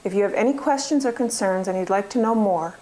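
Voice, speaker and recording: A woman's voice: mid-range voice, fast talker, mellow, a little hiss or hum. A woman giving scripted informational narration in an explanatory way.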